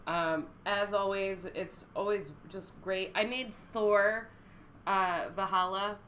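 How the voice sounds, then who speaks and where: Friendly female co-host with a cold, mid pitch, faint background hiss. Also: fairly even intonation, slow, fairly bright tone. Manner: friendly, chatty. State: has a cold. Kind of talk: casual chat thanking viewers.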